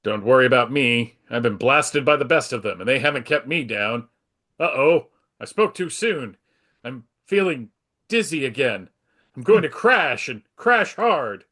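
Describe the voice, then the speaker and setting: A man's voice: medium pitch, animated, unhurried, sharp tone. A man playing an earnest, self-assured superhero in a live dramatic comic book reading. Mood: confident, then dismayed. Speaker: performer in a dramatic comic book table read.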